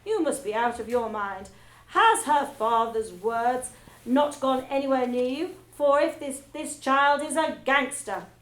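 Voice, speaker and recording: A woman voicing a bullying headmistress who barks her lines loudly and scornfully. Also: high-pitched, a little slow, echoey room. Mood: scornful bellowing. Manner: loud, scornful barking. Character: bullying, barking female headmistress.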